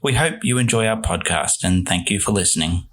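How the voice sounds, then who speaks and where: A man's voice: on the low side, brisk, heavy background noise. A young man co-hosting a casual podcast, explaining at length with fillers and restarts. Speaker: podcast co-host.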